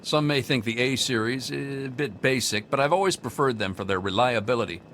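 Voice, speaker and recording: An actor playing a friendly, modest technician with a genial, avuncular manner in a video game. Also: male voice, mid-range voice, fairly quick, a bit bright, slight background noise, close to an everyday voice. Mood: genial, pleased.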